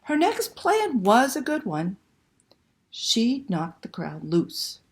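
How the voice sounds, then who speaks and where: A woman's voice: a little high, huge pitch swings, slow. A woman hosting a library story time, reading aloud and talking to young children with simple questions and prompts.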